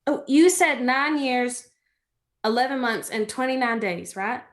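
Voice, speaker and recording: Gentle American farm girl, played by a woman, folksy and comforting, for a stage drama reading. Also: a little high, expressive, unhurried. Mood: friendly. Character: gentle, chatty rural girl, playful and comforting.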